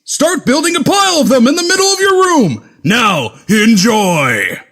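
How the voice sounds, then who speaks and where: A man's voice: extremely high pitch, sing-song, unhurried, a bit bright, exaggerated voice. A man voicing a chirpy announcer cheerfully telling children about a craft project. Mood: chipper. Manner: perky sing-song address to children. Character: chirpy male kids' show announcer.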